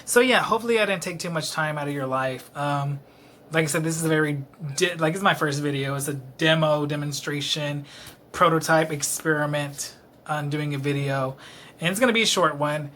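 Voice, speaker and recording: Tipsy late-twenties man vlogging at home, bright voice a touch high, rambling with many ums, faint room noise. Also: fairly quick. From Dallas, Texas. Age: late 20s. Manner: self-deprecating, rambling, many fillers. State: a little tipsy. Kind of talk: rambling casual monologue to camera. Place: his own apartment, indoors. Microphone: camera close-up.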